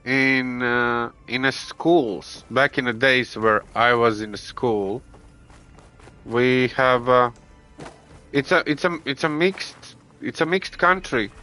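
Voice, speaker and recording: A man from Latvia with a Latvian accent, streaming a video game and chatting casually with viewers, halting with many restarts and fillers. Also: mid-range voice, pushed and bright, faint music behind. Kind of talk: casual gameplay chat with viewers. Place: home gaming setup.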